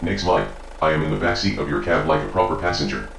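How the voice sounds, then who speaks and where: Audio-drama role, male actor: literal, determined machine protector, deep creaky voice, stilted delivery, loud music behind. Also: fast talker, roomy sound. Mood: stern, determined. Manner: stilted, formal, deadpan. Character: stiff, formal, literal-minded machine-like bodyguard, bluntly determined. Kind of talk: scripted audio drama acting.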